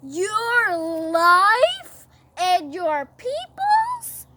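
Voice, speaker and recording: Imperious Bible-story king, played by a young woman, high-voiced, drawn-out commands, emphatic outrage, noisy recording. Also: lively intonation, full character voice. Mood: outraged.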